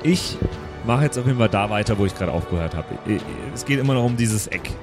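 A man's voice: on the low side, lively intonation, quick pace, over music. A man talking casually as a player in a tabletop role-playing game.

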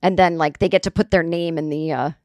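A raspy-voiced woman in her forties, a comedian hosting a podcast, telling a story casually with plenty of swearing and acted-out quotes. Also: low-pitched, a little fast, no echo, clean studio sound. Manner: profane, animated, acts out quotes.

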